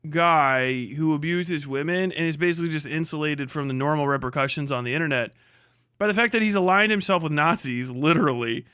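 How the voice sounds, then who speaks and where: Higher-voiced man, blunt profane livestream ranter. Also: a little fast, pushed and bright, clear, resonant tone, no echo, clean studio sound. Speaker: livestream host and political commentator. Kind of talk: casual, unscripted livestream commentary.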